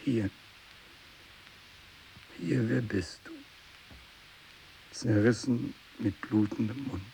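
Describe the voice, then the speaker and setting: Casting: fanatical, parched desert priest, slow and gravelly, anguished, 1960s radio drama with faint hiss. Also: male voice, lowish voice, mellow, full character voice, low-quality recording. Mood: despairing, feverish. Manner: declamatory, anguished, swinging between cries and whispers. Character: fanatical, tormented renegade priest, parched and raving.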